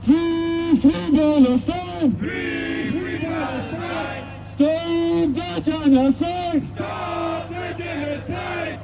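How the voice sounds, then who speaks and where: A protester leading shouted call-and-response chants at an outdoor march. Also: very high voice, fairly even intonation, very slow, long pauses, poor audio. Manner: shouted rhythmic slogans. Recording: loud crowd and music behind.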